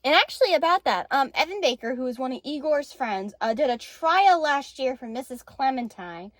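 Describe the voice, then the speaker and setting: Lively high-pitched young woman. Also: no room sound. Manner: halting, with fillers and restarts. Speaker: plush video creator voicing her characters. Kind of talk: casual plush roleplay chat.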